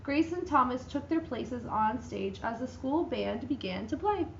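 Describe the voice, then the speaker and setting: A woman giving a dramatic reading of a children's picture book, with lively, expressive delivery and voices for the characters. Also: sits high, a little slow, vocal fry, roomy sound, dull audio, a little hiss or hum. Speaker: reader.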